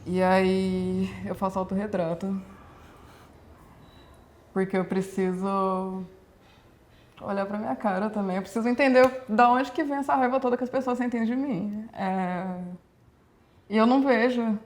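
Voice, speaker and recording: A woman in her twenties from Brazil, a performance artist speaking reflectively in a documentary interview, with measured, slow pauses. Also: medium pitch, steady tone, a little mellow. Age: 20s.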